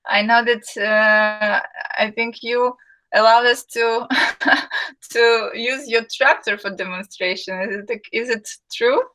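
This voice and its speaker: A woman from Ukraine who speaks English as a hosts an online webinar through a computer mic. Her voice is bright, and she talks slowly, pausing often on "uh" as she greets viewers. She reads their chat messages aloud in a friendly way. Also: a little high, steady tone. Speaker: webinar host, marketing and sales manager. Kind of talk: live webinar hosting, chatting with viewers.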